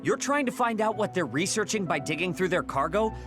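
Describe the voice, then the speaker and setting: A slightly high young man's voice as a blunt game soldier. He is snippy and scornful, with animated swings in pitch over loud music. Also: fairly quick. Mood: incredulous. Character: prickly, blunt young male soldier, reluctant teammate. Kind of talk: dubbed anime-style video game acting.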